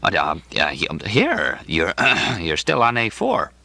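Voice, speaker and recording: A man voicing an amiable, condescending old hospital director, ailing and distracted, who hems and haws and clears his throat in an audiobook. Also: low voice, huge pitch swings, pushed and bright, no room sound, heavily acted. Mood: distracted, officious. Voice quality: fruity cough, throat-clearing. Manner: halting, hemming, falsely genial.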